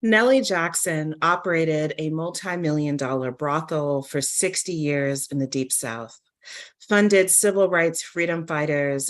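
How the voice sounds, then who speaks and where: A woman giving a deliberate, measured literary reading of scripted narration and poetry. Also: low voice.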